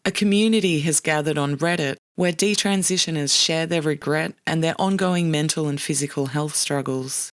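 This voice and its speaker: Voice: medium pitch, normal speed, hardly any pauses, a bit bright, clean tone, no echo. Serious narrator, dry studio sound. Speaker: podcast narrator. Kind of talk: scripted documentary narration. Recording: dry studio voice with faint music.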